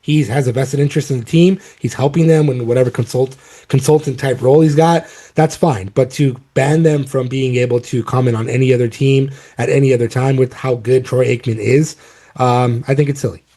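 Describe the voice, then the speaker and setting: A man's voice: medium pitch, fairly even intonation, brisk, warm tone. Man with a cold hosting sports talk. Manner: rambling, many ums and restarts. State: has a cold, voice a bit off. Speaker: podcast host.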